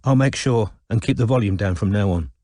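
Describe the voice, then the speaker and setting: A man voicing a tense, defensive London man with a flat, stiff manner in an audiobook. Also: lowish voice, fairly quick, no echo, studio quality, natural voice. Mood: stiff, bitter. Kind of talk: audiobook character dialogue.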